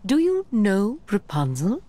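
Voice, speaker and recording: A woman playing a middle-aged, manipulative mother in an animated game, with a dramatic, honeyed delivery. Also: medium pitch, unhurried. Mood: falsely sweet. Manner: honeyed, dramatic, swooping into breathy hush. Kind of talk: animated game cutscene acting.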